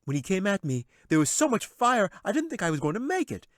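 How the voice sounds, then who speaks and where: A man voicing a bold, excitable young boy wizard with a breathless, eager delivery in a fantasy audiobook. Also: high-pitched, fast talker, dry recording, studio quality, pitched above the performer's own voice. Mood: excited, boastful.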